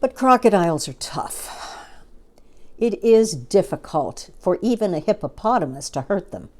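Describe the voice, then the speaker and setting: A woman's voice: mid-range voice, very animated, a little slow, darkish tone. A woman reading a children's story aloud as a storyteller, expressively and with dramatic emphasis.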